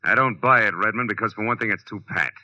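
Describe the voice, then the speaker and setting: Hard-boiled American private eye: very low, forceful, clipped and wry, on a dull old radio recording. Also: male voice, quick pace. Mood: hard, accusing. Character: tough male private detective, hard-boiled, sharp and insistent. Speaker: lead actor and narrator of a detective serial. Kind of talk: 1940s American radio drama acting, hard-boiled narration.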